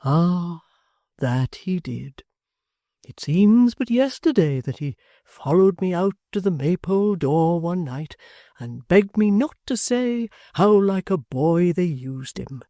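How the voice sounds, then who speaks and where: A reader voicing a gentle, kindly middle-aged locksmith in a storybook reading, speaking earnestly. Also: male voice, high voice, animated, slow, dry recording, clean studio sound, pitched above the performer's own voice, heavily acted.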